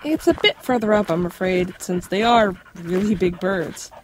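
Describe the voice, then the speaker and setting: A woman playing a bookish, cautious young folklore expert in an audio drama, talking in a measured, explanatory way. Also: fairly low pitch, slight background noise, lightly acted. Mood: thoughtful.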